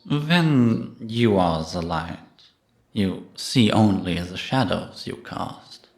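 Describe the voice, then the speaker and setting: A man playing a gentle, patient priest in a cinematic audio roleplay, probing with measured, contemplative questions. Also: on the low side, animated. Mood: serene, earnest. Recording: echoey, reverberant room.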